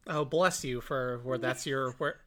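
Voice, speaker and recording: A woman with a low voice and a mild Illinois accent talks casually on a podcast. She is self-deprecating and swears freely. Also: unhurried, slight background noise. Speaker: podcast co-host. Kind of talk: casual chat.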